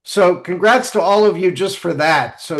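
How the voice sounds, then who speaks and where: A man hosting a podcast in casual discussion, speaking in a halting way and repeating phrases as he goes. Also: high voice. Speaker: podcast host.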